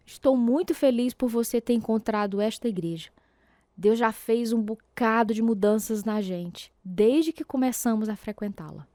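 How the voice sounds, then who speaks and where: A woman acting as a caring, devout wife who gently questions her husband, affectionate and concerned, with a Brazilian accent in a religious radio drama. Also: on the high side, normal speed, dry recording, studio quality, close to an everyday voice. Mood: gently questioning.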